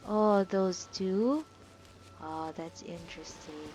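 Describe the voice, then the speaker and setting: A woman's voice: fairly low pitch, takes it slowly, a little mellow. A woman giving casual reactions while she plays through a video game. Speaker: game streamer reacting to a playthrough. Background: video game audio.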